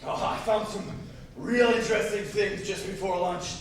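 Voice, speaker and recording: A man playing a mocking, leering, hard-drinking gang leader in a stage play, with a gravelly voice and a taunting drawl. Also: high-pitched, steady tone, moderate pace, close to an everyday voice. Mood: sly, over-friendly. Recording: echoey, noisy stage recording from far off.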